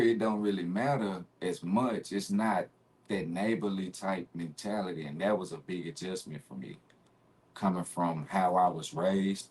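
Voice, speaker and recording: A man in his forties from Arkansas with a Southern accent, a guest telling stories in a relaxed, easygoing way that breaks into laughter. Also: low voice, breathy edge. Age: 40s. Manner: relaxed storytelling, laughs easily. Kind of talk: casual interview conversation.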